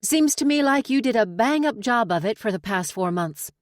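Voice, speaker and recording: A woman acts out a young romance heroine, flustered and lovestruck but feigning composure. Her delivery is coy and slightly breathy. The recording is dry and close, as in an audiobook. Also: fairly low pitch, expressive, fairly quick, darkish tone, no echo, close to an everyday voice. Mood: hurt, challenging.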